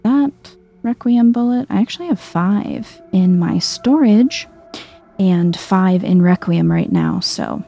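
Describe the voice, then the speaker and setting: A soft-spoken woman giving a chill, quiet ASMR-style commentary as she plays a video game. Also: mid-range voice, sing-song, slow, vocal fry, soft background music. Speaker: gaming video host.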